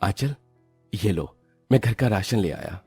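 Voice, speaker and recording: A man's voice: fairly low pitch, lively intonation, unhurried, a little mellow, husky, faint music behind, heavily acted. A man voicing a tender, struggling young father and husband, pleading and reassuring, in a cartoon. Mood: weary, pleading. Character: struggling, self-sacrificing poor husband and father, tender, earnest.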